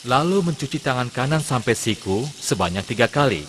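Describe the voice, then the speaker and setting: A man, an Islamic religious teacher, giving measured step-by-step instruction in a scripted lesson narration for children. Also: medium pitch, lively intonation, fairly bright tone. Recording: long hall reverb, clear background noise.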